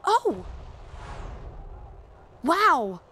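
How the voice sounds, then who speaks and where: A woman's voice: high-pitched, big pitch swings, drawn-out words, bright, forceful tone, slight background noise, heavily acted. A woman voicing a young, nerdy female hero fan and teacher in an audio roleplay, eager, rambling and easily flustered. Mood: excited.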